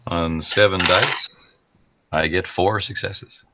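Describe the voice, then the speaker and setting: A man's voice: low-pitched, steady tone, slow pace, very noisy. A man playing a tabletop game, with a dry, teasing way of talking. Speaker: player. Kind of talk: casual tabletop play.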